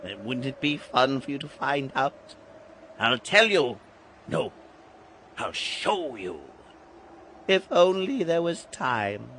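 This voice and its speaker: An actor playing a theatrical, sinister old guide to Halloween in an audio drama, grandiose and teasing with dramatic pauses. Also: male voice, mid-range voice, big pitch swings, sharp tone, slight background noise, full character voice. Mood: taunting, mysterious. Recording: music behind the voice.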